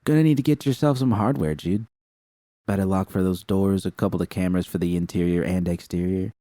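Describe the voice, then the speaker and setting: A tough young mercenary woman from a cyberpunk audiobook, put on by a man reading it. She talks bluntly and with a teasing edge, in a mellow voice that stays low for a woman. The recording is dry and close. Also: fairly quick, no echo, close to an everyday voice.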